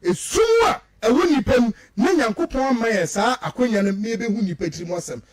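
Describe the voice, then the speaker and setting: Animated high-pitched Ghanaian man. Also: brisk. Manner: emphatic, repetitive, rhetorical. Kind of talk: animated political commentary mixing two languages.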